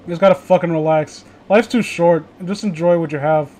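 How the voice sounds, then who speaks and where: A man's voice: a little high, brisk, dark tone. A man chatting casually as a host, rambling, blunt and opinionated, with frequent swearing. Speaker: host of a casual group chat.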